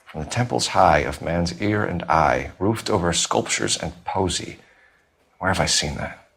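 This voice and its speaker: A man's voice: low voice, animated, unhurried, fairly bright tone, exaggerated voice. A man playing an earnest, bookish young 1940s police detective in a video game, clipped and serious. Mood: grave, pondering. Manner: clipped, serious, recites verse gravely. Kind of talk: dramatic video game acting.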